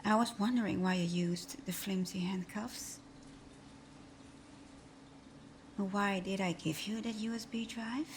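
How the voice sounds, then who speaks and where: A woman's voice: mid-range voice, steady tone, normal speed, slight background noise, natural voice. A woman playing a sly, flirtatious supervillain in a scripted ASMR roleplay, murmuring breathily with teasing hums. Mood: playful, smug. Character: flirtatious, sly female supervillain teasing her hero nemesis.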